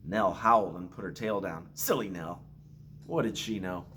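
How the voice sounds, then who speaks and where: A man's voice: low voice, moderate pace, a bit bright, a little hiss or hum. A man reading a children's storybook aloud expressively, with playful asides. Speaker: school staff member reading aloud to children.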